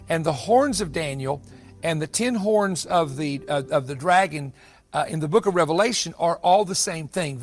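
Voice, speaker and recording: A man preaching in a Bible-teaching style, explaining things emphatically and restating his points as he goes. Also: sits high. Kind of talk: sermon-style Bible teaching, partly off the cuff.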